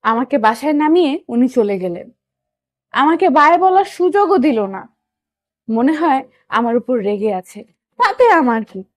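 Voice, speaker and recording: Young lady telling a love story, high voice, dry sound, first person narration. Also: sing-song, a little slow, pauses often, no echo.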